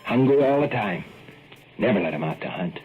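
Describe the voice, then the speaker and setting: An actor playing a slow, drawling rural sidekick in 1940s radio acting. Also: male voice, lowish voice, expressive, natural voice. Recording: dull old radio recording with music.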